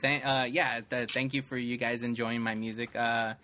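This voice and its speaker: A man co-hosts a casual podcast in a bright, forward voice. He rambles loosely, piling up fillers and restarting his sentences as he talks. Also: mid-range voice, level tone, normal speed, a little hiss or hum. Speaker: podcast co-host. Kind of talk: casual chat.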